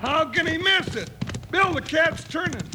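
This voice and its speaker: An actor playing a folksy, excitable cowboy sidekick with a drawl in an old-time radio western. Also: male voice, moderate pace. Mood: excited, indignant. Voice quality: high-pitched cowboy drawl. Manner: folksy, blustery, scolding. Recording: noisy old radio broadcast recording.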